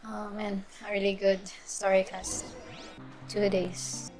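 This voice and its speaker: A woman's voice: mid-range voice, monotone, slow pace. A woman streamer giving casual, brief live reactions while playing a game. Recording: game music behind the voice.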